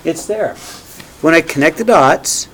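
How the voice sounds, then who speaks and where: Energetic man teaching a math class. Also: on the high side, measured pace. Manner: energetic, repeats key points, asks "okay?" and "right?" often. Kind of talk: classroom lecture.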